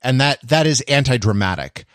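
A man with a mid-pitched voice and a dry, close sound chats as a podcast co-host. His conversation is casual but analytical, with bookish phrasing that comes out in halting pieces. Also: expressive, dry recording.

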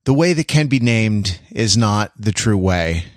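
A man's voice: lowish voice, animated, a little slow, dry recording, studio quality. A man hosting a casual comedic panel podcast, talking in a wordy, mock-earnest way with stammering restarts. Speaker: podcast host.

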